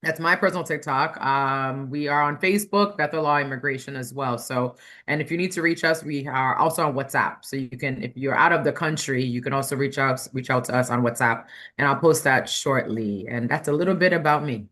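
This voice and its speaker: A woman's voice: extremely low pitch, a little fast, a bit bright. A woman speaking as a guest attorney, explaining things plainly and step by step, repeating key points for clarity. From Ghanaian background. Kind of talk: explanatory interview talk.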